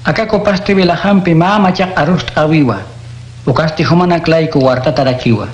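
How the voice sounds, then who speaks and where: Un hombre interpreta a Jesús enseñando con calma y tono instructivo en un radioteatro cristiano. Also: male voice, fairly high pitch, a little fast, muffled recording, natural voice. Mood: teaching. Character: Jesus, calm adult male teacher. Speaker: voice actor. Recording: echoey room.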